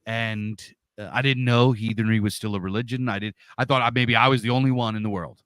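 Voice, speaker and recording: Loud guy, 40s, big voice, dry close sound, just chatting as a guest. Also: fairly low pitch, steady tone, fairly quick, smooth, no room sound. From Pennsylvania, USA. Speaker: interview guest. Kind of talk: casual storytelling.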